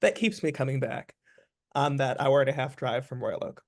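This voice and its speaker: A man's voice: medium pitch, normal speed. A man speaking as an interview guest, giving advice conversationally in an earnest, emphatic way, repeating phrases for stress.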